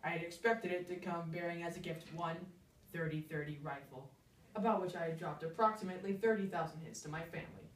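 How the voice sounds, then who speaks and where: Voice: mid-range voice, a little fast. A storyteller giving a theatrical, emphatic dramatic reading of a humorous first-person story. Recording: echoey room, distant microphone.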